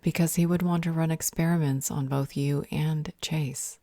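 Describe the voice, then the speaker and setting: A stern but protective female vampire healer, acted by a woman for an audio roleplay. Her voice is low and dark, recorded close and dry, and she speaks with measured, earnest firmness. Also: fairly even intonation, no echo. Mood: grave, guarded.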